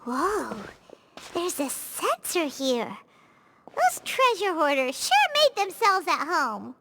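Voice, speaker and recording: A woman voicing a tiny childlike floating game companion, chirpy, excitable and easily spooked. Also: high-pitched, takes it slowly, heavy background noise, full character voice. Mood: curious. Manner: refers to self in third person, exclamatory. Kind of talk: video game voice acting.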